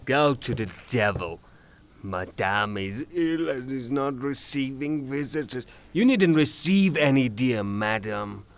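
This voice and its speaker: An exasperated, hot-tempered creditor, acted out by a man, ranting in a theatrical audio drama. He takes his time over each grievance in a mid-pitched voice, blustering and scornful of women. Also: animated, takes it slowly. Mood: defiant, sarcastic. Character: angry blustering male creditor, hot-tempered woman-hater.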